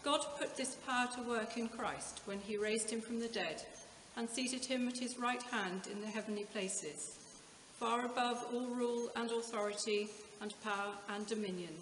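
A woman's voice: fairly high pitch, moderate pace, a bit bright. A woman in her sixties with an English accent gives a formal scripture reading inside a stone church. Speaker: scripture reader at a church service. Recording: echoey stone church, audible room noise.

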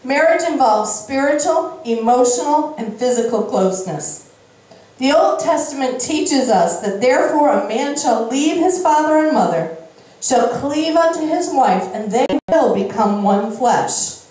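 A middle-aged woman preacher giving a sermon, explaining scripture in deliberate, emphatic short phrases into a handheld microphone on an indoor church stage. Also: sits high, animated, a little mellow. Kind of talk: sermon, Bible reading with interjected explanation. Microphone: handheld microphone at a lectern. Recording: very echoey room, slightly distant sound.

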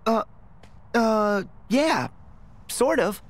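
A man's voice: sits high, expressive, extremely slow, heavily acted. A man playing an earnest, plucky teenage boy hero in a video game, blunt and casual in manner. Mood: concerned, searching. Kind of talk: video game cutscene acting.

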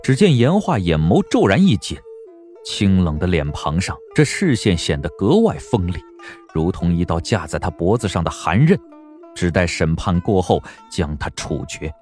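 Casting: man, low register, animated dramatic narrator for a historical audiobook, light music bed. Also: moderate pace.

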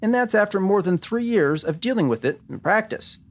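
A man's voice: sits high, lively intonation, a little fast. A man playing a wry, pun-loving detective narrator in a 1940s-style noir radio drama. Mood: friendly, wry. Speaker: podcast host playing himself.

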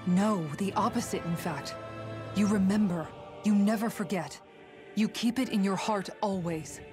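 A woman's voice: mid-range voice, unhurried, music in the background, lightly acted. An actress playing a firm, blunt yet compassionate young warrior woman, earnest and direct, in a dramatic video game.

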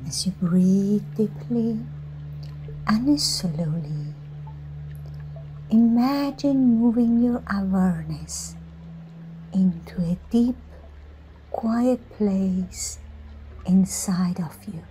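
A woman leading a scripted guided meditation in a soothing manner, giving instructions in short phrases with pauses between them. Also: medium pitch, expressive, mellow, a little hiss or hum, low-quality recording. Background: music.

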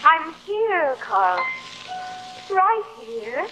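A young woman playing a vengeful, melodramatic figure, theatrical and drawn-out, in 1950s television drama style. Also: sits high, big pitch swings, lo-fi sound, music in the background, full character voice. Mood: eerie, luring.

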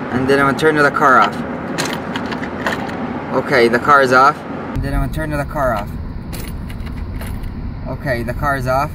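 Young man vlogging from a moving car. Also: mid-range voice. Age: young adult. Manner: chatty, explaining step by step while driving. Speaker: car channel host. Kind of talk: casual vlog narration. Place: inside a car on the street. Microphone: camera mounted on the dashboard. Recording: road noise in a moving car. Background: car and road noise.

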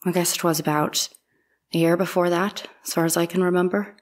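A woman's voice: lowish voice, level tone, brisk, lightly acted. A woman playing a plain, guarded young domestic servant testifying in a dramatic trial reading, giving terse, deferential answers.

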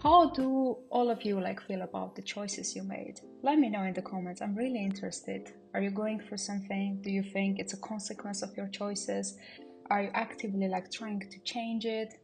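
A woman's voice: medium pitch, fairly even intonation, moderate pace, vocal fry, a little mellow, soft background music. A young woman in her mid-twenties from Armenia, chatting casually to the camera while she tidies her small apartment, a little nervous and reflective. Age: mid-20s. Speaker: vlogger. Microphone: camera on a stand, some lines away from it.

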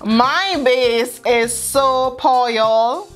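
A young adult woman co-hosting a casual video, talking in an expressive, self-deprecating way with lots of fillers. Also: high-pitched, extremely slow, faint music behind. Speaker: co-host. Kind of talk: casual chat, singing practice. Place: indoor studio with a purple backdrop.

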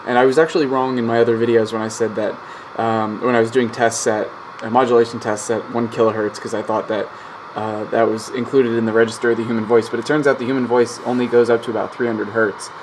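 A man giving a casual technical explanation, thinking aloud in a hesitant, rambling way at a workbench. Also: fairly low pitch. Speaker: host of an electronics hobby channel. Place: indoor electronics workbench. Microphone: camera mic behind the lens. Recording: steady static noise, handheld camera mic. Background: radio static from a speaker.

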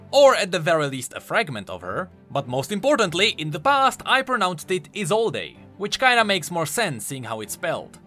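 A man's voice: a little high, sharp tone. A man giving enthusiastic, emphatic explanatory commentary on video game lore. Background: faint music.

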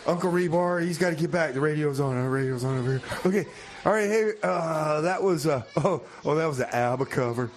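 A man's voice: fairly high pitch, a little fast, a little hiss or hum, close to an everyday voice. A man playing a folksy, exasperated hustler uncle with a rambling manner, in comedy radio theater. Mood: harried, jovial. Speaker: radio show host.

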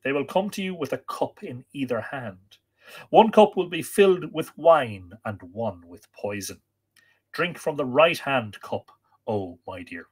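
A man's voice: mid-range voice, animated, measured pace, darkish tone, husky. A man voicing a queenly woman in a storybook tale, pleading and warning her lover with imperious, dramatic tenderness. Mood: pleading, tender.

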